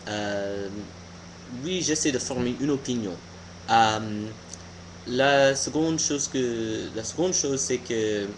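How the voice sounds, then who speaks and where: A young American man, a native English speaker, giving an informal opinion monologue haltingly, searching for words. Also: on the low side, noisy background. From United States. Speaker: video blogger.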